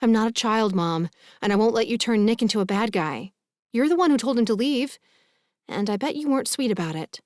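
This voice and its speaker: A woman's voice: medium pitch, moderate pace, a little mellow, no room sound, studio quality, natural voice. A woman voicing a headstrong young woman in love, insistent and emotional, in an audiobook. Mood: indignant, defiant. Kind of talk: audiobook character dialogue.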